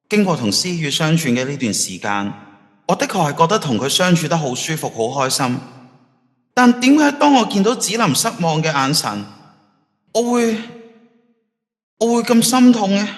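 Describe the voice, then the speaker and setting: A man's voice: fairly high pitch, sing-song, normal speed, long pauses, long reverb tail. A man playing a lovesick, torn teenage schoolboy in an audio drama, with earnest, emotional delivery. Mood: anguished, conflicted. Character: lovesick, torn teenage schoolboy who has travelled back in time. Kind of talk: Cantonese audio drama acting.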